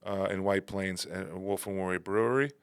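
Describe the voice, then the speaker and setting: A man's voice: low-pitched, fairly even intonation, normal speed, smooth, dry recording. A man co-hosting a comedy podcast in a studio, chatting casually and plugging his shows in an upbeat way.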